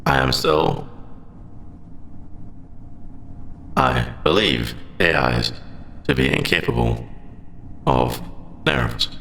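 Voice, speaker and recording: Man voicing a halting, gravelly, conflicted AI. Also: low-pitched, wildly swooping pitch, pushed and bright, reverberant, a little hiss or hum, full character voice. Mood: uneasy, confused. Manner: halting, formal, clipped robotic phrasing. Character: lovestruck sentient AI, formal, conflicted, hesitant about forbidden feelings. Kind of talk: ASMR audio roleplay, scripted monologue.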